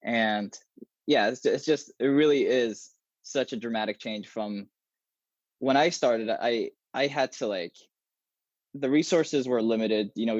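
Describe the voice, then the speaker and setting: A young man whose first language is Arabic chats as a guest on a video call, talking with his hands and restarting his phrases as he thinks aloud. His voice sits at an ordinary pitch, and it comes through dry and a little dull over earbuds in a small room. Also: no echo. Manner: animated, gestures a lot, many restarts. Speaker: guest software engineer. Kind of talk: casual interview talk.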